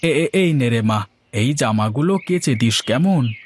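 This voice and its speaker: A man's voice: mid-range voice, lively intonation, a little slow, breathy edge. A man voicing a paternal, bossy older village landlord in a moral story cartoon, with an authoritative manner. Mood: kindly, instructing.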